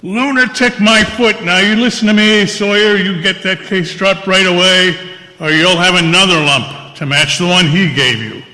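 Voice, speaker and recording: An actor playing a gruff, bossy, irate department store owner in an old-time radio play, blustering out commands. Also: male voice, high voice, normal speed, bright, forceful tone. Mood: angry. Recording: echoey hall with long reverb.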